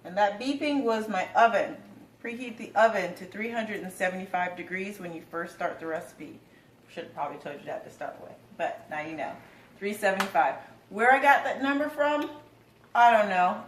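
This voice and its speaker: Relaxed woman demonstrating a recipe in her kitchen, loose and chatty, recorded from a distance. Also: mid-range voice, moderate pace, echoey room, a little hiss or hum. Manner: chatty and relaxed, with filler words. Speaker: home cooking demonstrator. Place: home kitchen.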